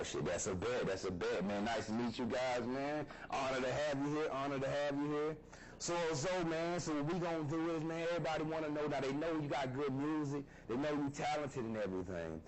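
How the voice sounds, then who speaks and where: A man hosting a casual podcast interview, relaxed and repeating "all right, bet" as he asks questions. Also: mid-range voice, a little fast, few pauses, crowd noise. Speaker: podcast host. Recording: noisy background.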